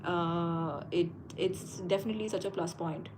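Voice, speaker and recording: Soft-spoken woman chatting live. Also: on the low side, fairly even intonation, moderate pace, slight background noise, poor audio. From India. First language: Konkani. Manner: hesitant, many fillers and restarts. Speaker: livestream host. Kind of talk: casual live Q&A. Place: indoors, abroad while traveling.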